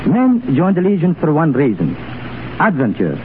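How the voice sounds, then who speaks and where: A man's voice: a little high, huge pitch swings, normal speed. A man speaking as a guest and former soldier in a semi-scripted 1930s radio interview. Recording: tinny 1930s radio broadcast, faint noise.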